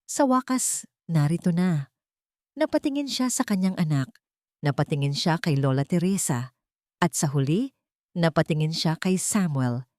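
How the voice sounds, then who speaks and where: A woman narrating a scripted audio drama in an expressive, emotive storytelling manner. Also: lowish voice, dry recording, clean studio sound.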